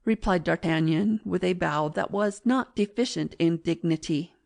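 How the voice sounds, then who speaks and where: A woman reading classic fiction as an audiobook narrator in a measured, literary manner. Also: fairly low pitch, creaky, a little mellow.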